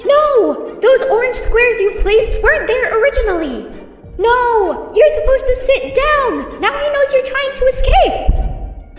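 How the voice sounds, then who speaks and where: A woman's voice: extremely high pitch, very animated, unhurried, exaggerated voice. A woman voicing a chirpy, bossy, anxious doll-like teacher creature in a video game. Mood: panicked scolding. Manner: chirpy, prim, urgent. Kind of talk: video game character acting. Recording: echoey hall, distant, music behind.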